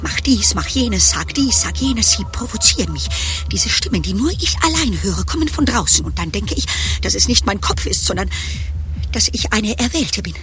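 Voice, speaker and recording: Bright, tense, solemn young woman. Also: mid-range voice, big pitch swings, normal speed, faint music behind. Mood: anguished, fervent. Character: earnest, tormented, humourless young woman in crisis. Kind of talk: German radio play acting.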